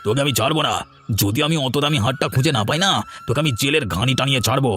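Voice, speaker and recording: A man as a blustering, rapid-fire cartoon boss. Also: mid-range voice, pushed and bright, close to an everyday voice. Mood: furious, accusing. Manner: scolding, insulting, blustering. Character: angry, accusing, bullying male goldsmith shop boss. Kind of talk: animated cartoon dialogue. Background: music.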